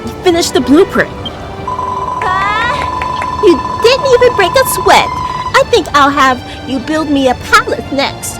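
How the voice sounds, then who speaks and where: A woman's voice: extremely high pitch, big pitch swings, a little slow, over loud music, pitched above the performer's own voice, full character voice, low-quality recording. A woman voicing a bossy, nosy young girl in a video game, with a pert, teasing, demanding manner. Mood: smug teasing.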